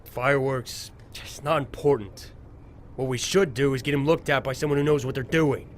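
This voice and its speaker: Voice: mid-range voice, normal speed, slight background noise, lightly acted. An actor playing a brash, defensive, short-tempered young man in an audio drama, snappy and sarcastic. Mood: irritated.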